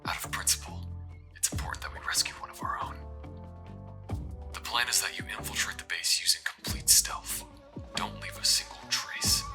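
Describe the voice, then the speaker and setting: A man playing a secretive, measured military officer giving a loyal, deliberate mission briefing in a cinematic audio roleplay. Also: low voice, vocal fry. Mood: earnest, confiding. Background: music.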